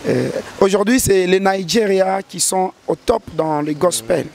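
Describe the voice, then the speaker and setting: A man's voice: high voice, a little slow, vocal fry, darkish tone. A man talks as a gospel singer in an interview. He answers earnestly, stumbling and restarting his words as he thinks. A faint noise hums under the recording. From DR Congo. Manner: earnest, hesitant with repeated restarts.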